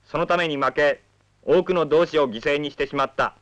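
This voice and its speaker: Higher-voiced man reading a formal political manifesto. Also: normal speed, fairly bright tone, highs cut off. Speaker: documentary narrator.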